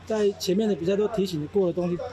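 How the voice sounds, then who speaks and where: A high-voiced male baseball coach talking fast and eagerly in an interview. A crowd is loud behind him. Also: fairly even intonation. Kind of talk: interview answer.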